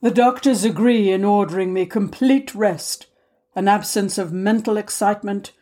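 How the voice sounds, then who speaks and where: A woman's voice: mid-range voice, normal speed, warm tone, close to an everyday voice. A woman voicing a nervous, self-absorbed man who fusses earnestly in a storybook reading. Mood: earnest, fussy. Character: nervous, self-absorbed man fussing over his ailments. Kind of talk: storybook character voice in audiobook reading.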